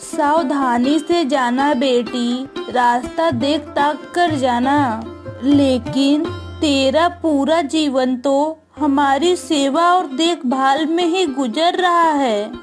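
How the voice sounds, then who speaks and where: कार्टून कहानी में बेटी की फ़िक्र करती कोमल, चिंतित गाँव की माँ का किरदार निभाती एक महिला।. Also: female voice, sits high, slow, faint music behind. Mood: tender, worried. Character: gentle, worried, ailing village mother. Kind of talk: cartoon moral story acting.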